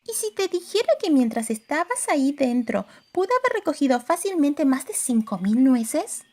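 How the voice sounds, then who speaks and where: A woman's voice: high-pitched, big pitch swings, normal speed, warm tone. A woman playing a small, perky, teasing talking squirrel in an audiobook. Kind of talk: audiobook character acting.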